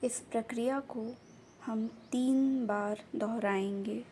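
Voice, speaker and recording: A woman guiding a meditation in a gentle, soothing manner, with short unhurried phrases of instruction. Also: a little high, fairly even intonation. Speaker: meditation guide. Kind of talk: guided meditation narration. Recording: faint background noise and ambience.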